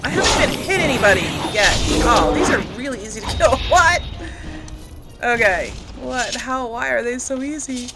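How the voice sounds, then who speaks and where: A woman's voice: a little high, animated, normal speed, over music. A woman casually commentating on her video game playthrough, chatting and thinking aloud as she plays. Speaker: gamer narrating a playthrough. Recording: loud game noise behind voice. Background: video game battle sounds.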